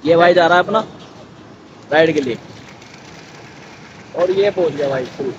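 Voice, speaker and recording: Young male vlogger, high-pitched and excitable; muffled, noisy outdoor audio. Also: unhurried. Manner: excited, chatty, keeps saying bhai. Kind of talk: casual vlog commentary. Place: outdoor mall walkway at night.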